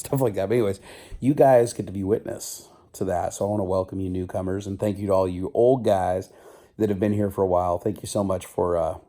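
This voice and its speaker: A middle-aged man vlogging casually, his voice still slightly rough and stuffy from a cold, rambling and joking at himself. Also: low voice. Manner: rambling, self-mocking, loud. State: stuffy nose. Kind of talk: casual video diary.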